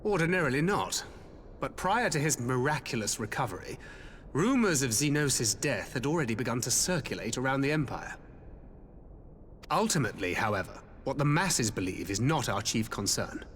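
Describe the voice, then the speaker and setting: Fantasy game tactician male actor: composed, measured, formal, mid-range voice, long reverb and faint noise. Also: medium pitch, expressive, a bit bright, close to an everyday voice. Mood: composed, calculating. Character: composed male strategist laying out covert war plans. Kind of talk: scripted fantasy video game acting.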